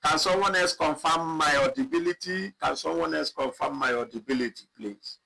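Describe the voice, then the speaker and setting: A man teaching a live online spreadsheet lesson, talking slowly and repeating himself as he clicks through data. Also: on the high side, creaky, pushed and bright, poor audio. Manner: slow, repetitive, thinking aloud while clicking. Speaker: instructor. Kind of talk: live online software tutorial.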